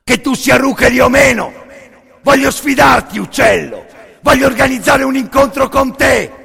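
A man's voice: very high voice, normal speed, gravelly, sharp tone, slightly rough, shouting, pitched above the performer's own voice, heavily acted. A man on stage playing a brutal ex-boxer camp boss who bellows taunts with menace. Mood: aggressive taunting. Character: brutal sneering male camp kapo, ex-boxer bully. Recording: long reverberant hall.

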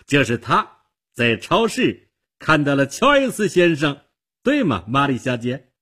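A man voicing a shrewd, composed police detective with a measured, knowing manner in an audiobook crime story. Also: on the high side, big pitch swings, a bit bright, breathy edge, pitched above the performer's own voice, full character voice. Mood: polite, prompting. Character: shrewd, composed male police detective explaining human nature.

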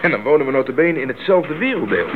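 An actor playing an idealistic, hearty young male missionary in a Dutch radio play, fervent, expressive and good-humoured. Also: mid-range voice, fast, lightly acted. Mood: earnest. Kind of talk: Dutch radio drama acting. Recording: muffled tinny old radio recording, faint noise.

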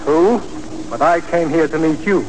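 An actor playing a suave, menacing crime boss who speaks with pompous, sneering politeness in a 1940s radio drama. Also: male voice, on the high side, moderate pace, natural voice. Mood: silky menace. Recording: hissy 1940s radio broadcast recording.

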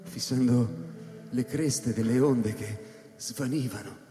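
An actor playing a guarded, introspective man in a radio drama, with a measured, questioning way of speaking. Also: medium pitch, warm tone, lightly acted. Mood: reflective. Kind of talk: radio drama dialogue and literary narration. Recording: reverberant hall, faint music behind.

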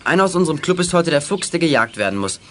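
Quick-talking, decisive club boy. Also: on the high side, very animated, a bit bright. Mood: explaining. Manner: brisk, explanatory, decisive. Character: eager, take-charge boy in CB radio club. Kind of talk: 1980s German children's radio play acting. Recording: faint background noise.

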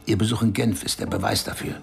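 A gravelly spy chief. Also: male voice, fairly low pitch, steady tone, fast, darkish tone. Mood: instructing. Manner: curt, instructing. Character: gravelly male spy chief giving orders. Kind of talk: radio drama acting.

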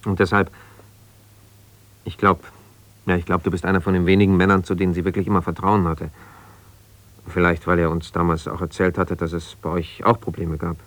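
Embittered husband, low-pitched, close dry sound. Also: male voice, level tone, fairly quick, long pauses, a bit bright, no echo. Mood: subdued, confiding. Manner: argumentative, exasperated, colloquial. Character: embittered, ambitious husband and lawyer, self-justifying, quarrelsome. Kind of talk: naturalistic radio play, one side of a phone call.

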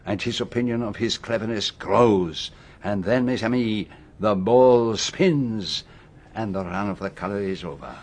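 A confident, formal Belgian detective. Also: male voice, fairly low pitch, a little mellow. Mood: assured, philosophical. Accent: French-speaking Belgian. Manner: deliberate, formal, theatrical. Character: confident middle-aged Belgian male detective, courteous and commanding. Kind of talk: radio drama acting.

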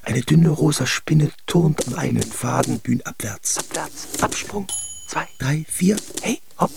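A man acting an excited onlooker who calls out a circus act in terse, staccato fragments for a literary radio play. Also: fairly high pitch, a little slow, creaky, noisy background, exaggerated voice. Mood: breathless wonder.

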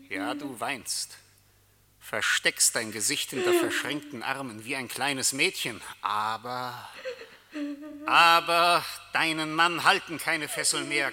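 An actor in a German radio play voicing Death as a taunting, seductive, solemn figure with a poetic, drawn-out, incantatory delivery. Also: male voice, fairly high pitch, sharp tone, full character voice. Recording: long reverberant hall sound.

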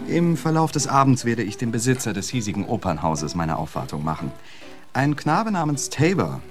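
An actor playing an urbane, witty poet in a radio play, suave and flowery with a dry humour. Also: male voice, mid-range voice, big pitch swings, moderate pace, close to an everyday voice. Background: music.

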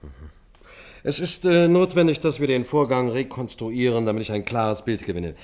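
A stern police investigator, acted by a man in a radio crime play. His medium-pitched voice moves widely as he questions in a clipped, insistent manner. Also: animated, moderate pace, a little mellow, natural voice. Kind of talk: German radio crime drama acting.

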